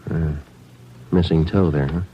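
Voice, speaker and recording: An actor playing a stoic, terse police detective sergeant in a 1940s radio drama, clipped and matter-of-fact. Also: male voice, extremely low pitch, steady tone, measured pace, slight background noise. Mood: terse, businesslike. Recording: muffled old radio recording, loud music.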